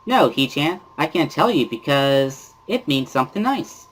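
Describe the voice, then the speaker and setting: A man's voice: a little high, sing-song, a little slow, voice pushed up, rough recording. A man voicing a bubbly, pushy teenage schoolgirl in an amateur visual novel reading, with a sing-song, coaxing manner. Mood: teasing.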